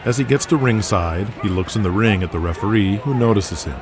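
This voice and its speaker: A man's voice: fairly low pitch, lively intonation, a little fast, noisy background. A man reading scripted narration for an audio drama in a dramatic storytelling style.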